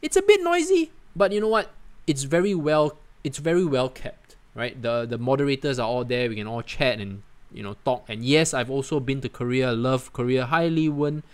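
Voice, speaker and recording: A man from Singapore, hosting a casual livestream and answering viewer comments in a chatty, animated way. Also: medium pitch, normal speed, smooth. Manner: chatty, animated, peppers talk with "man", "guys" and "right?". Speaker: livestream host.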